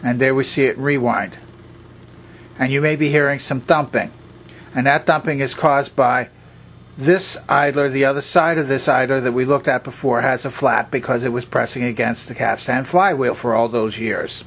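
A man with a medium-pitched voice narrates a hands-on technical demonstration. He talks without a script, pointing out each part methodically. His delivery is plain and instructional. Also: fairly even intonation, normal speed, fairly bright tone. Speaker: presenter of vintage electronics demonstrations. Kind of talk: unscripted explanatory demonstration narration.

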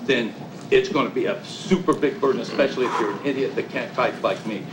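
A man's voice: medium pitch, fairly quick. Man arguing at a city hearing. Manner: emphatic, repetitive complaining. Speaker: business owner speaking at public hearing. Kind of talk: public comment at city meeting. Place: small council chamber, standing before audience. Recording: echoey room with background noise.

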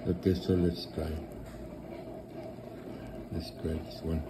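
A man speaks very slowly and haltingly with a lowish voice, pausing often between short phrases. He is filming himself at a home kitchen table on a handheld phone, and steady room noise runs clearly under the voice. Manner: halting, hesitant, many pauses. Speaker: vlogger. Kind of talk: casual cooking vlog.

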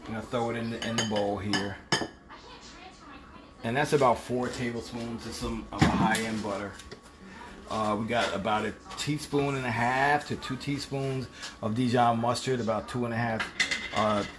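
A middle-aged man hosting a casual home cooking livestream, talking through the steps with relaxed, joking slang. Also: medium pitch, normal speed, noisy background. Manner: relaxed, jokey, playful slang. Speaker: home cooking show host. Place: home kitchen, indoors. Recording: sizzling pan and kitchen clatter.

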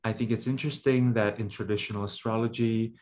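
A man in his thirties from Indonesia giving a reflective online talk on a webcam, with many "right?" checks and "um" pauses. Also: lowish voice, fairly even intonation, a little mellow. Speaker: symposium speaker. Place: indoor room at home. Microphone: webcam video call.

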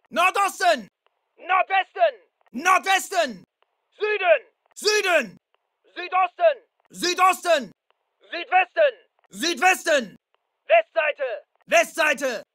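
An alert video game soldier giving clipped, urgent battlefield callouts. His voice is very high-pitched for a man and hard-edged, with a commanding delivery. The sound is dry and close. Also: animated, slow pace, pauses often, sharp tone, dry recording, exaggerated voice, low-quality recording. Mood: alarmed, urgent. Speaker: voice actor in a dubbed military shooter. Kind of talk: military combat callouts, short barked lines.

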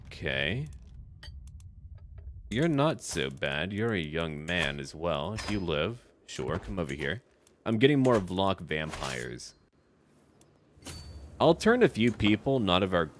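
A man giving casual game commentary with light role-play, musing aloud in short, clipped remarks. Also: deep voice. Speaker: gaming YouTuber. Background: background music.

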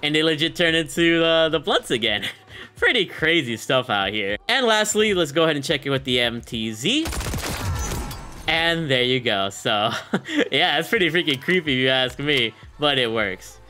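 A man's voice: fairly high pitch, very animated, normal speed, pushed and bright. A man in his late twenties giving hyped, slangy, casual gameplay commentary as a YouTuber, close on the mic in a small room. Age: late 20s. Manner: hyped, slangy, chuckling streamer talk. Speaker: gaming YouTuber reviewing a bundle. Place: small indoor gaming room. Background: faint music.

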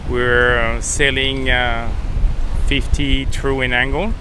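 A man talking casually as a sailing vlogger, explaining things in a hesitant, halting way outdoors on a boat. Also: mid-range voice, little pitch movement. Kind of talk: casual explanatory vlog talk. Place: outdoors aboard a sailboat at sea. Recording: noisy with wind, water and boat sounds.

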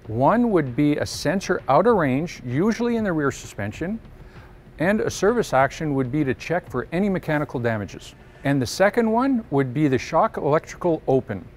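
A middle-aged man giving a scripted technical presentation in a steady, measured voice, recorded on an indoor studio set. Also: on the high side, a little hiss or hum.

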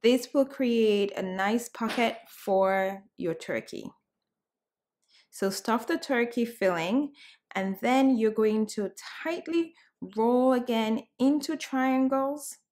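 A woman in her thirties from Cameroon, hosting a cooking tutorial in an upbeat, chatty way and talking straight to her viewers from a bright room at home. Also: a little high, takes it slowly. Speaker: cooking show host.

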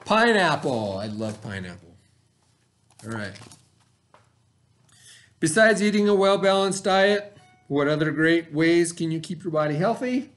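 A middle-aged man with an American accent reads aloud to young pupils as their teacher. His voice sits fairly high and moves up and down in a lively way, with an expressive, instructional delivery. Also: faint music behind. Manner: expressive, instructional, pauses to ask the listener questions. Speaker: elementary school teacher. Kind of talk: read-aloud lesson for children. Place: small indoor classroom, at a desk.

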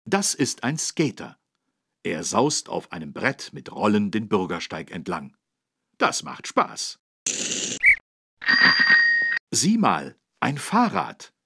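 A husky-voiced man narrating a children's learning game, explaining things in an encouraging, animated way with careful phrasing. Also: lowish voice, slow pace. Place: dry studio.

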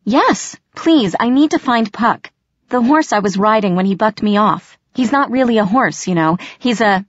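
A woman's voice: mid-range voice, animated, normal speed, no room sound, lo-fi sound, voice pushed up. A woman voicing an anxious human girl in a fantasy audiobook, earnest, hesitant and pleading. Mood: urgent, hopeful.

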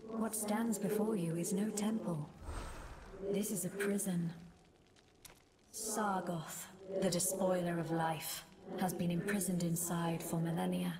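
An ancient temple spirit in a fantasy game. She speaks slowly in a lowish, hushed, breathy tone, grave and portentous, over a loud background din. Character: solemn ancient female guardian spirit bound to a temple. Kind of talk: video game voice acting.

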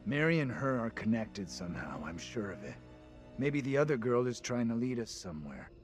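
An actor playing an earnest, determined middle-aged male professor in a horror game, speaking gravely and insistently. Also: medium pitch, big pitch swings, moderate pace, soft background music, lightly acted. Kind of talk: cinematic horror game dialogue.

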